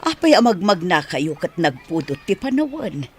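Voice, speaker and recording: A woman's voice: lowish voice, sing-song, normal speed, a little hiss or hum. A woman playing a kind, concerned and generous wife in an Ilocano radio drama. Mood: kindly.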